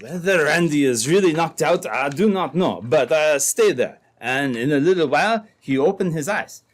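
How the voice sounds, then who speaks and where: A man playing an earnest French-Canadian trapper with a French accent in an old-time radio drama, speaking in a deliberate, dramatic way. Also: a little high, lively intonation, natural voice. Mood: dramatic, insistent.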